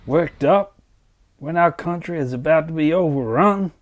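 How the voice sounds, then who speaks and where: A man's voice: a little high, normal speed, close to an everyday voice. A man playing a grumpy older mine technician, set in his ways, curt and resentful in dramatized dialogue. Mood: indignant grumbling.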